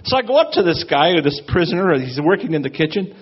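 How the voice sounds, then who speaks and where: A man preaching his testimony, swinging between hushed, grave passages and excited bursts. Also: on the high side, fast talker, husky. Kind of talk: sermon testimony. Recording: dull lo-fi recording.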